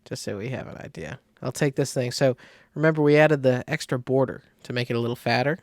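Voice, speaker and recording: A man giving a casual step-by-step software tutorial, thinking aloud informally as he demonstrates. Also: mid-range voice, fast, dry recording, clean studio sound. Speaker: design tutorial instructor.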